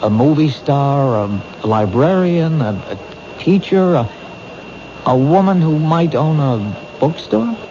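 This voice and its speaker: An actor playing an earnest, protective police detective in a 1970s radio drama, friendly and a little stammering. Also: male voice, a little high, expressive, slow pace, warm tone. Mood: probing. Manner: friendly, stammers when nervous. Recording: dull old radio broadcast with hiss.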